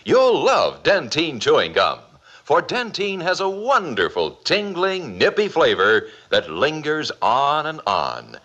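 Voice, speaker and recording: A man's voice: fairly high pitch, wildly swooping pitch, takes it slowly, a bit bright, dull audio. A man announcing a scripted vintage radio commercial in the manner of the 1950s. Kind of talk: 1950s radio advertisement read.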